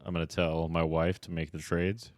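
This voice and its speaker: A man's voice: low voice, flat delivery, moderate pace, no room sound. A man co-hosting a comedy podcast, rambling and riffing on wordplay in casual banter from a studio. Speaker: podcast co-host.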